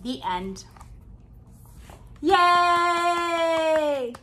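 A woman in her thirties reading a picture book aloud to young children with expressive, deliberate phrasing. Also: very high-pitched. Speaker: children's storytime reader. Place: small indoor room with bookshelves.

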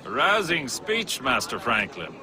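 An actor playing a colonial official in a game cutscene, smooth with peers and cutting to underlings. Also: male voice, mid-range voice, huge pitch swings, slow pace, crowd noise. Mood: courteous. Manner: suave then cutting. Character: colonial official, courteous to peers, bullying to soldiers. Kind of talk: video game cutscene acting.

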